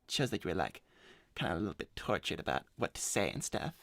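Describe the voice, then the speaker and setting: A man's voice: low-pitched, big pitch swings, fast talker, gravelly, fairly bright tone, no echo, clean studio sound, exaggerated voice. A man voicing a cocky, broke hedgehog slacker in an improvised cartoon livestream, casual, blunt and self-assured. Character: cocky, broke male hedgehog slacker giving shady advice. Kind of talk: improvised in-character livestream chat.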